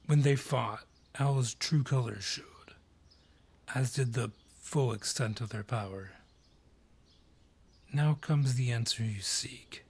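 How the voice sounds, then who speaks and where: Husky, unhurried male dryad, played by a man. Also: mid-range voice, a little mellow. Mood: grave, storytelling. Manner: gruff, curt, world-weary. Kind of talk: fantasy audio roleplay acting.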